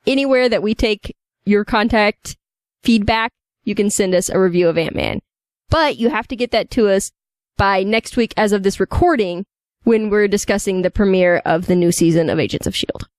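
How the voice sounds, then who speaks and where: A woman's voice: mid-range voice, moderate pace, no room sound. A woman co-hosting a casual podcast, speaking in an articulate, orderly way. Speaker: podcast co-host.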